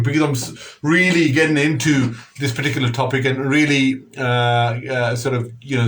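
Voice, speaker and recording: A middle-aged man hosting a community radio phone-in, who talks in halting bursts full of fillers and restarts. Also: mid-range voice, fairly bright tone. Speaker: radio show host.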